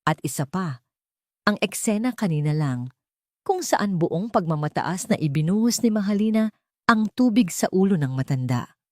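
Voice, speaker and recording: A woman storyteller narrating a scripted drama in a measured, solemn, dramatic way. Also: fairly low pitch, animated, no room sound, clean studio sound.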